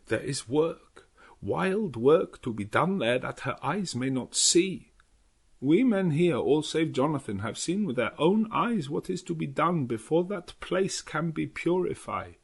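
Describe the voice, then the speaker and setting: Old professor, raised pitch, grave, kindly, rhetorical, foreign phrasing, gothic audiobook. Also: male voice, vocal fry, slightly rough, heavily acted. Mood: pleading, grave. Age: older. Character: old Dutch professor, earnest, grave, kindly vampire hunter.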